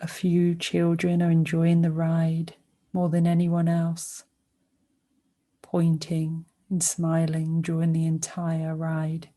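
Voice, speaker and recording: Woman, sleep meditation narration, soft relaxed tone, even and flat intonation, gentle, pausing between short phrases. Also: low voice. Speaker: meditation guide. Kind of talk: guided sleep meditation, soothing scripted narration.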